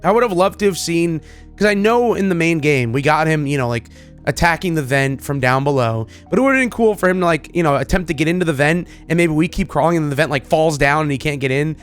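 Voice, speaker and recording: A man giving casual, excited commentary as a gaming video creator, rambling in an informal, enthusiastic way. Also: a little high, fast talker, soft background music.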